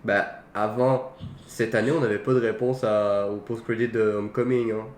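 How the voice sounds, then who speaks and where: A man's voice: on the low side, level tone, normal speed. A man co-hosting a casual film talk, giving blunt opinions in short phrases. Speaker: co-host of a film talk show. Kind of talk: casual film debate between friends. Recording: echoey room with faint background noise.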